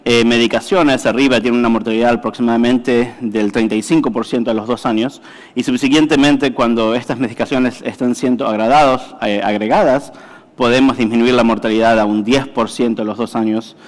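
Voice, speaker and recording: Brief: male presenter, halting delivery, echoing lecture hall. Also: mid-range voice, fairly even intonation. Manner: halting, many fillers and self-corrections. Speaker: conference speaker, cardiologist. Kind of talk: medical conference talk. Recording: reverberant hall.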